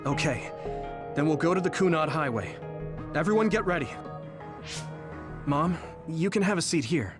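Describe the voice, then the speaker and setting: A man's voice: fairly high pitch, animated, normal speed, long pauses, lightly acted. An actor playing an earnest, questioning young male soldier in a video game cutscene. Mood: calm. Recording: music behind the voice.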